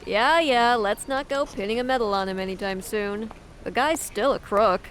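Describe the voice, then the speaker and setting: Actress as a tough lady cop, dry and firm, slightly high voice, bit of music under it. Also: normal speed, lightly acted. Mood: stern. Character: tough, no-nonsense, sardonic senior policewoman. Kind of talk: audio drama acting.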